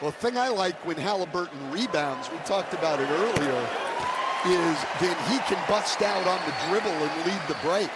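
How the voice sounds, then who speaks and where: Male basketball analyst for live commentary, high voice, heavy arena noise. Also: lively intonation, moderate pace. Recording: very loud arena crowd and court noise.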